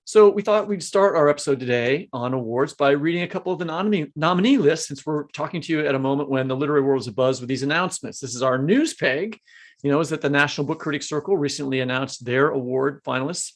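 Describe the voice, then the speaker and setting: A man's voice: on the high side, fast. A man co-hosting a literary podcast, chatting casually and reading out award nominees with small asides. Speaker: podcast co-host. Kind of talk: podcast conversation, reading lists.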